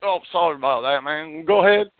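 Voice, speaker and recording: A man's voice: fairly high pitch, brisk, no room sound. A man calling a radio show, talking in a rambling, stammering, folksy way that turns taunting. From Alabama. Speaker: caller. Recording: dry, tinny phone line.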